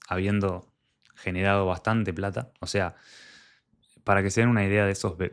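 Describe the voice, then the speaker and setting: Young Argentine man, deep voice, telling his story. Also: creaky. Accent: Argentine Spanish. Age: young adult. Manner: candid, conversational, quotes his own past thoughts. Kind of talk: personal storytelling monologue.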